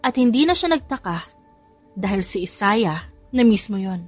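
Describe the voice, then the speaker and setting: A woman's voice: mid-range voice, lively intonation, normal speed, husky, soft background music. A woman narrator reading a scripted love story.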